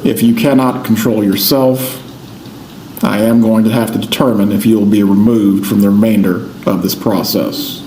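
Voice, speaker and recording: A male judge with an American accent speaks formally and deliberately in a mellow, relaxed tone. His voice carries the roomy echo of a courtroom, with faint noise underneath. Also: lowish voice. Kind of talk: formal courtroom proceedings, reading a verdict. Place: indoor courtroom.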